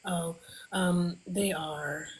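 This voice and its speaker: A woman in her twenties chatting casually on a livestream, drowsy and complaining, sometimes dropping to soft whispers. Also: fairly low pitch, steady tone, drawn-out words, low-quality recording. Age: 20s. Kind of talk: casual chat.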